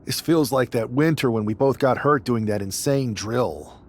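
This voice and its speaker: A man playing a reflective, plain-spoken wrestler with a husky voice in a video game cutscene. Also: medium pitch, moderate pace, a little mellow, natural voice. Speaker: pro wrestler character.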